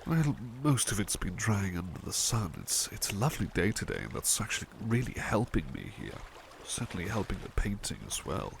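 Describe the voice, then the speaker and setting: A man playing a devoted, tender butler in an ASMR roleplay, murmuring softly and a little hesitantly to the listener. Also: lowish voice, very animated, a little fast, gravelly, few pauses, background noise. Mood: gentle, absorbed. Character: devoted, tender male butler who loves painting.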